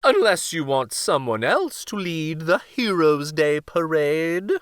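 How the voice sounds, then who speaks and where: A man voicing a brisk, insistent, motherly queen in a storybook, firm and nagging. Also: medium pitch, very animated, no room sound, clean studio sound, voice pushed up.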